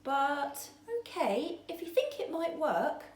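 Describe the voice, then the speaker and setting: A woman's voice: sits high, big pitch swings, takes it slowly, pitched above the performer's own voice, full character voice. A woman voicing a nervous, sad young male fish with a worried, hesitant way of talking. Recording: roomy echo.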